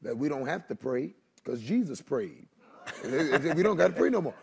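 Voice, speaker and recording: A man in his thirties preaching with passion on a panel, halting and repeating words for emphasis. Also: a little high, big pitch swings, creaky, slightly rough. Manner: impassioned, halting repetition for emphasis. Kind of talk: fervent preaching in conversation. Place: large TV studio set with audience. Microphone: lapel mic.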